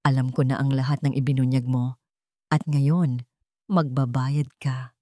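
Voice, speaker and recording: A woman's voice: a bass voice, steady tone, unhurried, warm tone, no room sound, voice pushed down. Menacing male villain played by a woman, Filipino accent, cold threatening delivery, audio drama, dry sound.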